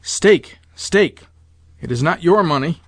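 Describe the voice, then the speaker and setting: A man's voice: on the high side, lively intonation, normal speed, no echo. A man voicing an imperious, impatient old grandmother in an audiobook, full of commands and exclamations. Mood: fuming, demanding. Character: imperious, excitable old Russian grandmother addicted to roulette. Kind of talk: audiobook character voice.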